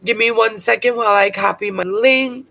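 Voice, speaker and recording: A woman's voice: fairly high pitch, normal speed, gravelly, pushed and bright. A woman with a bad cold reviewing makeup live, chatty and blunt, dropping casual swear words as she goes. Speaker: makeup vlogger. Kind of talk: casual livestream product review.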